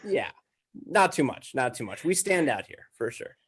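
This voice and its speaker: A man's voice: medium pitch, sing-song, normal speed, breathy edge. A man chatting casually as a podcast guest, joking in a mock-serious way. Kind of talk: casual comedic chat.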